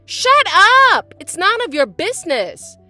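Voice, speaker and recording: A woman voicing a bratty, spiteful young stepsister who talks in a shrill, mocking, bossy way. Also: very animated, a little slow, fairly bright tone, faint music behind, heavily acted. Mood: angry. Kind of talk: voiced text-message drama.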